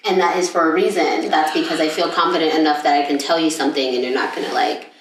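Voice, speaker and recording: Young woman podcast co-host, low voice, loose chatty delivery full of fillers, picked up from across an echoey room. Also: steady tone, fast talker, a little hiss or hum. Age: young adult. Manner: rambling, with many fillers and restarts. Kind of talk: casual chat. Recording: echoey room, mic at a distance.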